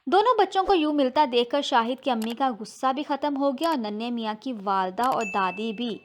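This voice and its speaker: Woman, higher-pitched voice, storyteller, scripted narration, children's moral tale. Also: animated, fairly quick, a little hiss or hum.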